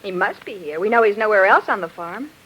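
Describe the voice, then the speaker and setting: A woman's voice: medium pitch, animated, brisk, close to an everyday voice. An actress playing a curious, earnest woman, a detective's eager assistant, in an old-time radio drama. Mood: concerned. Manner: questioning, earnest. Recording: noisy old radio recording.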